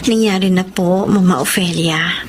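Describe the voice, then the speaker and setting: A woman's voice: lowish voice, fairly even intonation, normal speed, natural voice. A woman playing a respectful, resigned young mother in a Tagalog radio drama. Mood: resigned, polite. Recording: clear background ambience noise.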